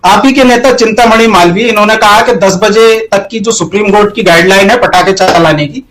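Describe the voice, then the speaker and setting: A man's voice: sits high, a little fast, background noise. A man arguing combatively as a panelist in a televised political debate, pressing rhetorical questions.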